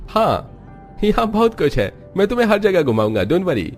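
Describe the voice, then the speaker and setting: A man's voice: high-pitched, big pitch swings, moderate pace, soft background music, voice pushed up. A man voicing a cheerful, easygoing young husband and devoted son in a cartoon. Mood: reassuring.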